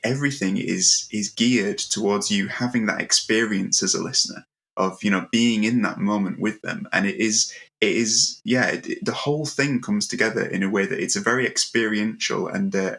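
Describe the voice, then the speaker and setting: A man hosting a casual interview, haltingly working his way through long questions with repeated words. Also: lowish voice, gravelly, fairly bright tone. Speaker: interviewer, host.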